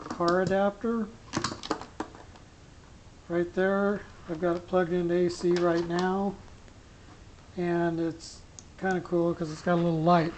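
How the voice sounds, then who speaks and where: A middle-aged hobbyist talks through a casual demo at an unhurried, halting pace. The tone stays flat as the talk rambles with frequent pauses. It is picked up on a small camera clipped to a shirt, with some noise behind it. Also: mid-range voice, dull audio. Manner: rambling, hesitant, pausing often mid-sentence. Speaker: amateur radio hobbyist giving a gear demo. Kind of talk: casual unscripted demonstration. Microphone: small camera pinned to t-shirt. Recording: noisy clip-on camera sound with clicks.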